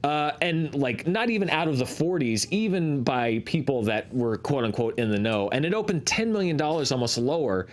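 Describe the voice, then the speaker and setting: A man's voice: medium pitch, animated, moderate pace, few pauses. A man hosting a talk show, giving analytical commentary in an explanatory way, often restarting and repeating points for emphasis. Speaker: host of a box office discussion show.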